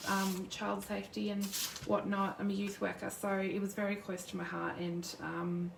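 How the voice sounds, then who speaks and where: Woman, croaky sore throat, rambling live-stream crafter, roomy echo, faint background hiss. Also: medium pitch, level tone, normal speed. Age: adult with a son. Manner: chatty, rambling, greets viewers by name. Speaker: crafting demonstrator, youth worker. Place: home craft desk. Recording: roomy distant mic at a craft desk.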